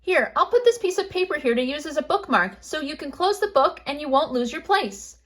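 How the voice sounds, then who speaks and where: A confident ten-year-old bunny girl, voiced by a woman storyteller, with a high, youthful voice. She is helpful and matter-of-fact in a children's storybook. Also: sing-song, fairly quick, a bit bright.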